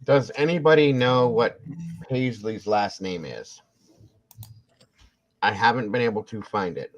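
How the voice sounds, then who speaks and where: A very low-voiced man in his forties talks casually on a livestream, his pitch swinging widely as he muses and answers the chat. The audio is dull and lo-fi. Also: expressive, a little slow. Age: 40s. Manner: reads out chat, chatty and musing. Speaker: livestream co-host.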